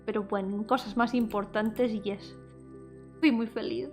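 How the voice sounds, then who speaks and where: High-voiced giggly woman streamer, music behind. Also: fairly even intonation, normal speed, a little mellow. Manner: giggly, effusive, often trailing off and repeating words. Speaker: VTuber streamer and indie game developer. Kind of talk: casual livestream chat. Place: indoor solo livestream with music behind the voice.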